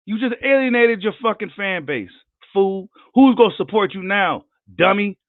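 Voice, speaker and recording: A hoarse man who says he is losing his voice, ranting heatedly and profanely on a live boxing vlog. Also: high-pitched, animated, moderate pace, dry recording. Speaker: boxing commentator. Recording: tinny phone-like line, dry.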